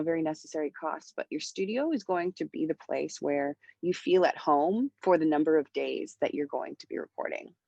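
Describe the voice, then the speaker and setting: A woman's voice: low-pitched, no room sound. A woman in her thirties giving mentoring advice on a video call, talking with energy and many hand gestures. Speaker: music mentor. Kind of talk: panel talk on recording. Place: home room with bright wall. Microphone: wireless earbuds on video call.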